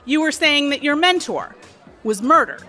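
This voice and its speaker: Bright, animated, sly cocktail waitress. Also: female voice, sits high, moderate pace, faint music behind. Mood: sly, insinuating. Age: 30s. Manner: breezy, familiar, insinuating, talkative. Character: pushy, chatty, sly cocktail waitress and aspiring actress, mid-thirties. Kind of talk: audio drama acting.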